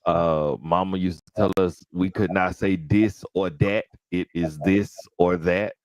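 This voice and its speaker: Man, very low voice, dry close sound, crisp careful diction. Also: fairly even intonation, unhurried, a little mellow, no room sound. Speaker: podcast host. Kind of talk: casual interview chat.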